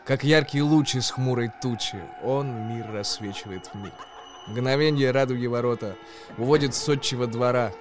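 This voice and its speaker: A man, an actor, narrating a tale in verse for a radio play in an expressive, declamatory way. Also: mid-range voice, normal speed, music in the background.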